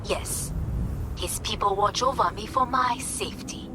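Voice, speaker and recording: A woman playing a poised, imperious corporate heiress in a video game, speaking formally and in a composed, measured way. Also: mid-range voice, close to an everyday voice. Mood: cool composure. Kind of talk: dramatic video game acting. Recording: loud ambient noise behind voice.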